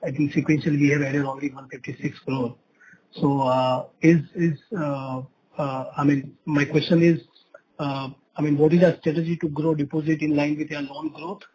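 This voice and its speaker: Indian analyst guy asking stuff on a call, highish voice, stop-start, bit of noise. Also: little pitch movement, moderate pace, a little mellow. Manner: hesitant, stop-start phrasing. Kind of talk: questioning on an earnings conference call. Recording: faintly noisy conference call.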